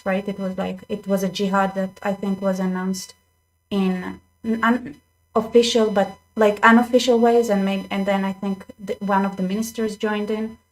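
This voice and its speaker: Young woman chatting to her channel viewers, restarts a lot, mid pitch, talks into a desk mic. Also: low-quality recording. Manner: chatty, restarts often, addresses viewers directly. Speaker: channel host. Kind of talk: casual commentary to camera. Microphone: desk microphone.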